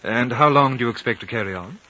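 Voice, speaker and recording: A man acting a thoughtful, incredulous traveler in his late thirties in a 1950s radio drama, speaking in a measured, earnest way. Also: mid-range voice, sharp tone, close to an everyday voice. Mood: questioning. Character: thirty-seven-year-old thoughtful, incredulous Western man, narrating his story. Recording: muffled old 1950 radio recording.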